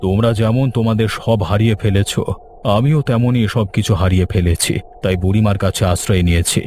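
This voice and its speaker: A man voicing a betrayed, dignified village headman in a cartoon fairy tale, grave and deliberate. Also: on the low side, a little mellow, a little hiss or hum, lightly acted. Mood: sorrowful.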